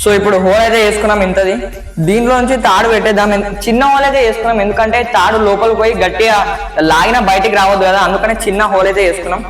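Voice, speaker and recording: A man's voice: high-pitched, steady tone, very fast, fairly bright tone. A man with a Telangana Telugu accent hosting a casual how-to vlog, explaining informally step by step and repeating himself. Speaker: host of a DIY experiments channel. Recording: echoey sound with music behind.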